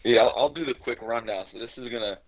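A man's voice: medium pitch, fast talker, muffled recording. A man from Slovenia, a native Slovenian speaker, telling his story casually and informally as a podcast guest, often repeating words as he goes. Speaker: podcast guest, strength coach and gym owner.

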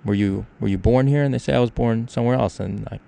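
A man's voice: mid-range voice, fast, gravelly, warm tone. A man from Ukraine hosting a casual discussion, talking things through with examples. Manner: conversational, explains with examples. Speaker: podcast host.

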